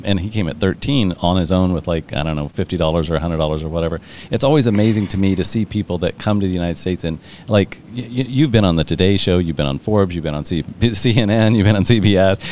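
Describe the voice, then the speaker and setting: A man hosting an interview, enthusiastic and quick to laugh. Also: low voice, a little mellow, a little hiss or hum. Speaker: podcast host. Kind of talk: conversational interview.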